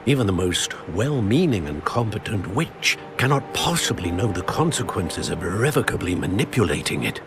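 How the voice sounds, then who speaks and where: Wise, expressive wizard professor. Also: male voice, on the low side. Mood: gentle, cautioning. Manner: measured, formal phrasing. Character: wise, cautious senior wizard professor, gentle and grave. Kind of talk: dramatic video game voice acting. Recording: orchestral music behind the voice.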